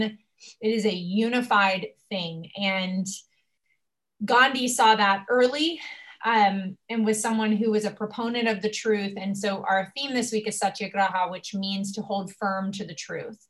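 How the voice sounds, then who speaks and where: A woman's voice: mid-range voice, fairly even intonation, a little slow, fairly bright tone. A young woman hosting a casual conversation, effusive and emotional, with many fillers. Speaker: host.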